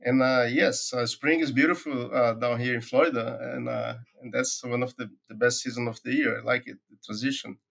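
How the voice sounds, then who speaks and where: A middle-aged man with a Brazilian accent speaks in an easygoing, conversational way, explaining his work as a researcher. His voice sits at an ordinary pitch, and his speech is full of small pauses, fillers and restarts as he gathers his thoughts. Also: steady tone, creaky, fairly bright tone, slightly rough. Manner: thoughtful, many "uh" fillers and repeated words. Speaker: forage agronomy professor, guest. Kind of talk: conversational interview answers.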